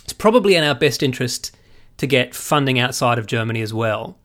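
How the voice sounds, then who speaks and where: A man co-hosting a podcast in casual movie chat, telling things in an animated, sweary way and checking in with his listener. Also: mid-range voice, fairly quick. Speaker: podcast co-host.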